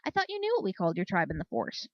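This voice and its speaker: A woman's voice: lowish voice, wildly swooping pitch, rapid-fire, no echo, muffled recording. Lady doing a blunt breezy pegasus army mare, storybook reading, dry sound. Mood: placating, breezy. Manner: blunt, unbothered.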